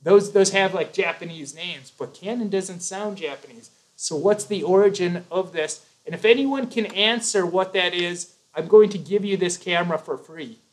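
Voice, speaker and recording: A man's voice: high-pitched, moderate pace, few pauses, poor audio. A man hosting a casual live stream, reading out viewer comments and answering them in a rambling, joking way. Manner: chatty, rambling, self-correcting, jokey.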